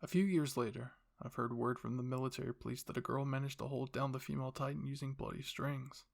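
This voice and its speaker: A man's voice: medium pitch, fairly even intonation, quick pace, gravelly, lightly acted. A man voicing a cold, scheming royal father who commands and explains without remorse in an anime fan fiction reading. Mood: recounting.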